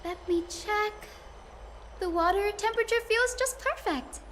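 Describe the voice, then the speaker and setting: High-pitched, gentle young lady. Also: unhurried, heavy background noise. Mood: pleased, polite. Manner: polite, tentative, formal. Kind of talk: video game voice acting.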